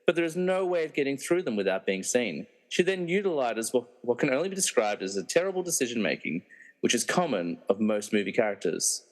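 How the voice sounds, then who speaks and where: A man's voice: mid-range voice, sing-song, brisk, close to an everyday voice. A man playing a wry narrator in a comedy radio play, reading dramatic narration with mocking asides. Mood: suspenseful. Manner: wry, theatrical. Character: wry, meddling movie narrator who mocks the characters. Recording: reverberant hall-like echo.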